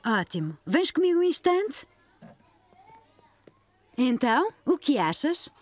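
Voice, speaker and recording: A woman's voice: high-pitched, sing-song, slow, dry recording, full character voice. A woman voicing a kind, encouraging young preschool teacher in a children's cartoon, with a European Portuguese accent. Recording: music behind the voice.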